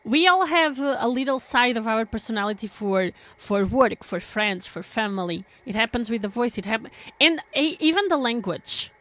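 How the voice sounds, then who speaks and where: A woman's voice: fairly high pitch, animated, moderate pace, hardly any pauses, fairly bright tone. Woman streamer, chatty. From Portugal. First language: Portuguese. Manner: rambling, chatty, with many fillers and restarts. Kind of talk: casual chat with stream viewers.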